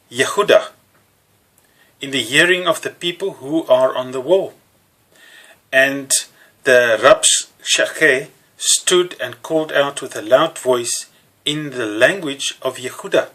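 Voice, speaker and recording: Slow, bright-voiced Afrikaans-preacher. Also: male voice, mid-range voice. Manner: slow, halting, with many fillers and restarts. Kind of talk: sermon.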